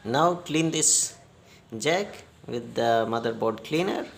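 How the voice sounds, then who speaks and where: A man giving a hands-on repair demonstration in halting, non-native English, step by step. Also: mid-range voice, animated, creaky, roomy sound. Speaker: repair technician giving a tutorial.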